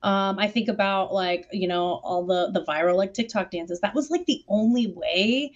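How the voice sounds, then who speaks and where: A woman's voice: mid-range voice, normal speed. A woman chatting casually and enthusiastically on a panel, in an informal way, over a video call. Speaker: theater artist on a panel. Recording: dull, lo-fi online call audio.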